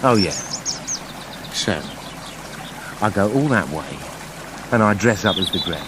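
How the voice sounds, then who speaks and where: A man's voice: lowish voice, expressive, a little slow, noisy background. An actor playing a sardonic, put-upon male wolf in a comic radio play, with a dry, argumentative, incredulous manner. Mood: grumbling.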